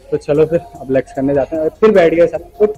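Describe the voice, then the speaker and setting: A man's voice: on the high side, brisk, dark tone, husky, soft background music. A young man chatting casually and slangily in a gym vlog, rambling loosely with joking banter. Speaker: gym vlogger. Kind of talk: casual vlog chat, teasing banter with friends.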